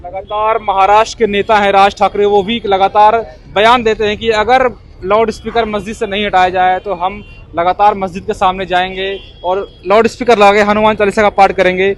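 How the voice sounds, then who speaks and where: High-voiced man reporting from the street. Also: little pitch movement, brisk, hardly any pauses. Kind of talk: vox pop interviewing on a current issue. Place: outdoors on a city street. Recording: outdoor street with traffic behind.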